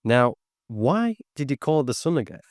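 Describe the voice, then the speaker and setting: A man's voice: mid-range voice, very animated, moderate pace, a little mellow, no room sound, studio quality. A man hosting a podcast, friendly, putting short questions to his guest. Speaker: podcast host. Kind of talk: casual podcast interview.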